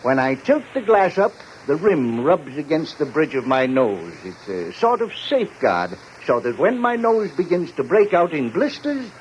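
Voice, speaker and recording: An actor playing an aging, boozy barfly philosopher in a 1940s radio drama, speechifying in a florid, pompous, mock-tragic way. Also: male voice, a little high, sing-song, moderate pace, hardly any pauses. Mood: tipsy, jovial. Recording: muffled, noisy 1940s radio recording.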